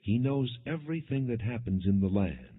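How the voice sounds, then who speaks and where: A man narrating scripture as an audiobook, reading in a measured, formal storytelling manner. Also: mid-range voice, animated, breathy edge. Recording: muffled lo-fi, phone-like band.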